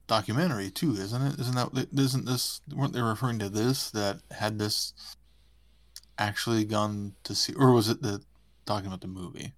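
A man's voice: on the low side, normal speed, no echo. A man co-hosting a podcast, speaking in casual, conversational chat. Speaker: podcast co-host.

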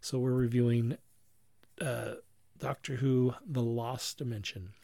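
Guy hosting a podcast, rambles n backtracks, medium pitch, super dry close mic. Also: flat delivery, creaky, dark tone, dry recording. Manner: halting, rambling, self-correcting delivery; chuckles while talking. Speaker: podcast host. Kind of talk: casual review chat.

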